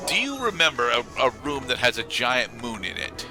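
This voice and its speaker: A man's voice: mid-range voice, big pitch swings, normal speed. A man doing casual playthrough commentary as co-host of a gaming video, rambling and correcting himself as he goes. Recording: game music behind the voice. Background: video game music and sound.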